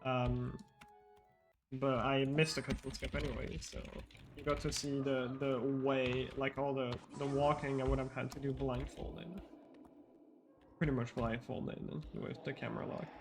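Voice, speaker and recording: A man giving relaxed live commentary on his own speedrun, explaining tricks in a casual, rambling way. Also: mid-range voice, unhurried, soft background music. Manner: casual, explanatory, frequent fillers and restarts.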